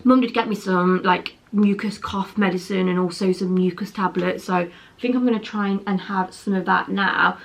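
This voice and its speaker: A woman's voice: mid-range voice, steady tone, normal speed, gravelly. A young woman vlogging at home, chatty and rambling, wheezy from a chest infection. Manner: chatty, rambling, self-correcting. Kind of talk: casual chatty vlog. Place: home, indoors.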